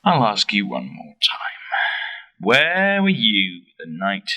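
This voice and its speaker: An actor playing a hesitant but persistent detective in an amateur radio play, with a husky voice. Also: male voice, fairly low pitch, huge pitch swings, takes it slowly, sharp tone, heavily acted. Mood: accusing. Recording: distant microphone with faint music.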